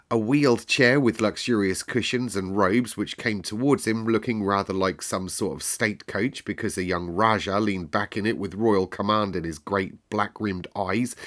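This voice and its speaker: A man reading a story aloud as narrator, expressive and dramatic, with deliberate emphasis. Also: fairly low pitch.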